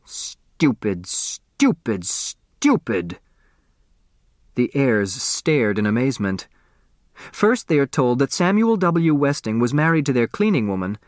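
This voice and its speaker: A man's voice: mid-range voice, very animated, normal speed, no room sound, studio quality. A man narrating a novel as an audiobook reader, with dramatic scripted delivery.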